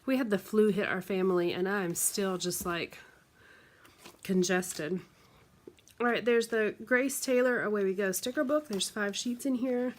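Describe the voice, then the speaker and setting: A woman from the United States talks through a box of craft supplies in a casual, rambling way, full of ums and likes. Her voice sounds congested and stuffy from a recent flu. Her pitch sits in the middle range for a woman. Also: a little slow. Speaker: craft vlogger. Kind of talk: casual show-and-tell unboxing.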